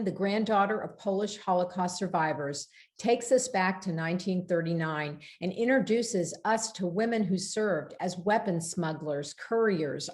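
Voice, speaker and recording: A woman hosting a virtual bookstore event, reading a prepared introduction in a measured, formal way over a video call. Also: lowish voice, darkish tone.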